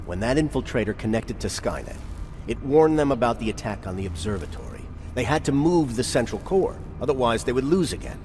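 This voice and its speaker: An actor playing a grave, resolute military commander in a video game, speaking in a deliberate, earnest, authoritative way. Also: male voice, medium pitch, lively intonation, a little mellow, slight background noise, natural voice. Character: grave, resolute male resistance commander briefing soldiers. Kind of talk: dramatic cutscene dialogue.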